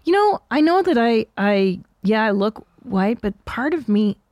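A woman's voice: fairly high pitch, big pitch swings, a little slow, a little mellow, dry recording, clean studio sound. A woman in her forties from Canada hosting a podcast, chatting casually in a blunt, profane, self-mocking way. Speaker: podcast host. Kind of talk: casual comedic chat.

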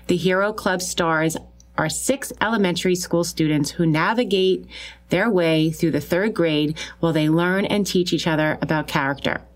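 A woman's voice: lowish voice, normal speed. A woman with a Long Island New York accent hosting a podcast in an enthusiastic, chatty way. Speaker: podcast host. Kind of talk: upbeat podcast introduction and interview.

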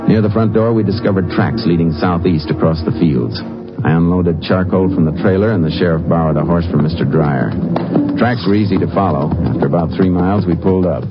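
Texas Ranger male actor: low, American, methodical, matter-of-fact; old-time radio western, dull lo-fi sound. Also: a little fast, lightly acted. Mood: measured narrating. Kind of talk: 1950s western radio drama acting and narration. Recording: muffled old radio broadcast, music behind.

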